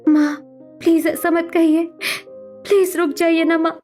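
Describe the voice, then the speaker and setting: A woman's voice: very high-pitched, little pitch movement, measured pace, mellow, soft background music. A woman voicing a devoted, earnest young daughter with a pleading manner in a Hindi cartoon melodrama. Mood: desperate, pleading. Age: young adult.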